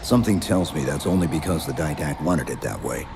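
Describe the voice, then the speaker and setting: A stoic armored super-soldier with a very deep voice and few words. He speaks in a steady, clipped way, with faint noise behind him in a game cutscene. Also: brisk. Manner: terse, stoic. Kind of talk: video game cutscene acting.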